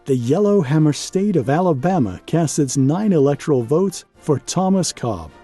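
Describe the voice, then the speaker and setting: A man's voice: on the high side, animated, moderate pace, warm tone, husky, soft background music. A man voicing earnest grade-school pupils in a storybook, speaking up with proud, declarative announcements. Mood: proud announcing.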